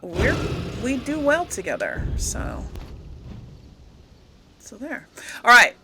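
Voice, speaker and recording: A woman's voice: on the high side, huge pitch swings, slow, very noisy. A woman giving casual, rambling commentary as she plays a game, often correcting herself and lightly amused. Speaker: gaming host.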